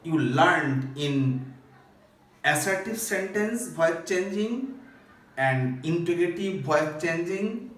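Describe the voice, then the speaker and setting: A man with a Bangladeshi accent teaching a school English grammar lesson, explaining deliberately and emphatically phrase by phrase. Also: on the high side, animated. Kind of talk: recorded classroom grammar lesson. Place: echoey indoor room at a board. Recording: very echoey room, distant microphone.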